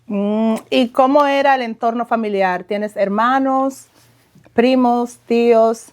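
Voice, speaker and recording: A woman's voice: a little high, slow pace. A woman hosting a podcast, interviewing her guest with an encouraging manner. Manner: encouraging, asks questions warmly. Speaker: podcast host.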